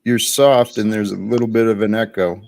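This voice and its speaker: A man hosting a livestream, talking slowly and thoughtfully about his life with many pauses. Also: lowish voice, fairly even intonation, gravelly, a little mellow. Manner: slow, thoughtful, pausing. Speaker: livestream host. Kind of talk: reflective livestream talk.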